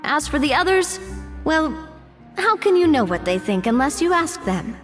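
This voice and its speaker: A woman's voice: high-pitched, normal speed. A woman voicing a confident young female investigator in a video game, encouraging and persuasive. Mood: reassuring. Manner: reassuring, expressive. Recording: long reverb with music behind.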